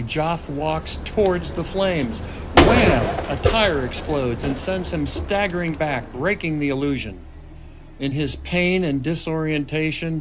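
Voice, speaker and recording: Man narrating screenplay action, some noise. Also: on the high side. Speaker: stage-direction narrator. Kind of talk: scripted dramatic narration of a screenplay. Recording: noisy table-read room recording.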